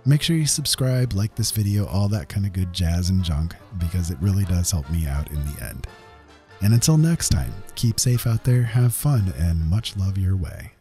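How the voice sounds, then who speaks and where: A man's voice: deep voice, lively intonation, normal speed, warm tone. A man hosting a gaming video, narrating a script in a casual, conversational way with emphatic touches. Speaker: video game content creator, host. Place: voice-over over game footage. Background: faint background music.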